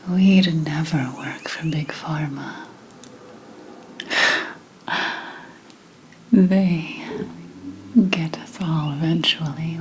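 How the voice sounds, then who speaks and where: A woman playing a wary, sardonic old witch in an ASMR roleplay, speaking in a breathy whisper mixed with soft, deliberate speech. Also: lowish voice, a little hiss or hum. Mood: wry, skeptical. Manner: slow, deliberate, suspicious questioning with dramatic pauses. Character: wary, sardonic old 17th-century witch, potion maker.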